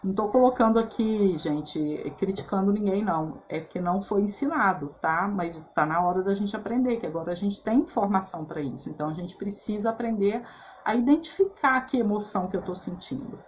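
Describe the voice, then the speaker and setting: A woman's voice: medium pitch, animated, fairly quick, slight background noise. Chatty woman therapist explaining. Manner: chatty, frequent "né" and "tá" tags, restarts. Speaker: holistic therapist. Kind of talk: casual explanatory talk.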